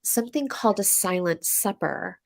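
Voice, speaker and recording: A woman's voice: mid-range voice, animated, normal speed, creaky. An American woman with a stuffy nose from a cold, chatting as an interview guest and telling a long story full of fillers and restarts. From USA. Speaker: podcast guest, coach.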